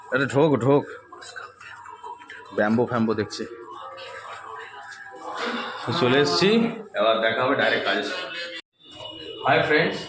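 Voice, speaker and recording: A man's voice: medium pitch, rough recording. A man giving casual daily vlog narration, speaking to his viewers as he goes about his day. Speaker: vlogger. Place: on location at home, roads and work sites. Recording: clear vehicle and outdoor noise.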